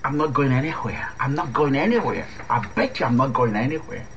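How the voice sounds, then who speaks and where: An actor playing an indignant, defensive lover in a radio drama, with a Nigerian accent and an insistent, repetitive way of talking. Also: male voice, mid-range voice, expressive, fairly quick, gravelly, fairly bright tone, lo-fi sound, slight background noise. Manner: repeats phrases insistently.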